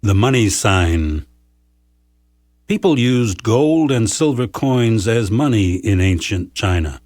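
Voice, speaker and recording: Slow, low-voiced man reading folktales. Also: animated, a little mellow, dry recording, clean studio sound. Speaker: narrator. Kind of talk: scripted folktale narration for language learners.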